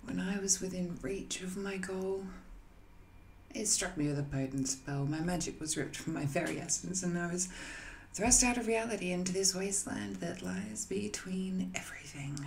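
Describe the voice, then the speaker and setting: A woman playing an ancient, banished magical villain in an audio roleplay, musing and seductive, with hesitant, intimate delivery that often softens toward a whisper. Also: on the low side, gravelly, a little hiss or hum. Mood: weary, bitter. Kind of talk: scripted audio roleplay monologue, intimate and addressed to the listener.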